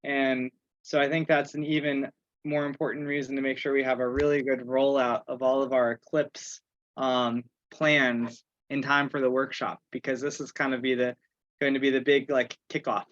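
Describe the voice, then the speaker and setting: A man's voice: mid-range voice, little pitch movement, normal speed, a bit bright, no room sound. A man in an informal online meeting, thinking aloud and putting questions to himself as he works through his points. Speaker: meeting participant and organizer. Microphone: online conference call. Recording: slightly dull conference-call audio.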